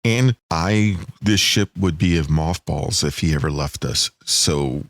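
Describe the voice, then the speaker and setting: A man's voice: a bass voice, a little slow, a bit bright, no echo. A middle-aged man hosting a casual podcast with his co-hosts, joking and laughing often. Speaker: podcast host.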